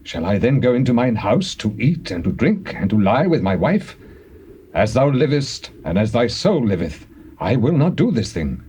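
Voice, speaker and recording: An actor playing a loyal, resolute soldier in dramatized scripture, earnest and emphatic, with a British accent. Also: male voice, mid-range voice, very animated, moderate pace, darkish tone, close to an everyday voice. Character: loyal, resolute ancient soldier refusing comfort. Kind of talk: dramatized scripture acting in archaic English. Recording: hissy old cassette tape with faint ambience.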